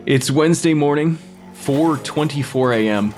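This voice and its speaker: A man's voice: fairly high pitch, moderate pace, a little mellow, faint music behind, close to an everyday voice. An actor playing an awkward, earnest male forensic pathologist in an audio drama, precise but a little hesitant. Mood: clinical. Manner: hesitant, slightly flustered, precise. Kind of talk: full-cast audio fiction acting.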